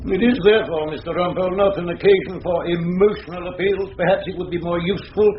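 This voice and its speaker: An actor playing a stern, pedantic older English judge in a radio drama, clipped and reproving. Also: male voice, sits high, fairly even intonation, quick pace, lightly acted. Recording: dull radio recording with hiss.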